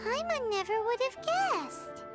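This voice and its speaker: A woman voicing a tiny, excitable childlike female fairy companion in a video game, squeaky and exclamatory. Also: measured pace, exaggerated voice. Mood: curious. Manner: refers to self in third person, exclamatory. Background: children's game music.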